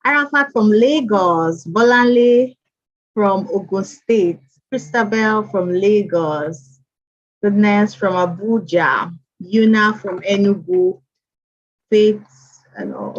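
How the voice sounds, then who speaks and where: A woman hosting an online mentorship session, greeting attendees by name and repeating welcomes. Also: medium pitch. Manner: chatty, repeats greetings, reads names aloud. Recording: dull lo-fi stream audio.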